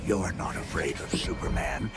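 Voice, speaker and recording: A man's voice: low voice, normal speed, loud music behind the voice, natural voice. A man voicing a sinister video game villain who taunts in a menacing, mock-clinical manner. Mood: taunting. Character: sinister, taunting villain who preys on fear, posing as therapist.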